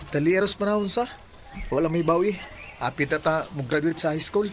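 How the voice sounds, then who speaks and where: An actor playing a shy, nervous teenage schoolboy in love in a radio drama, speaking haltingly with a Cebuano accent. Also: male voice, fairly high pitch, a little hiss or hum, close to an everyday voice. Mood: eager, coaxing. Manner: hesitant, halting.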